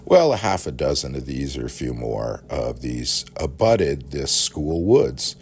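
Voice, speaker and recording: A middle-aged American man telling casual, rambling childhood-style reminiscences in a halting, conversational way. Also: very deep voice, very animated. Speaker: podcast storyteller. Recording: faint background noise.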